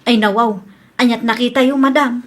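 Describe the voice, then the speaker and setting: A woman's voice: a little high, steady tone, normal speed, natural voice. A woman acting a flustered, evasive and deferential shop employee in an audio comedy drama. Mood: nervous, pleading.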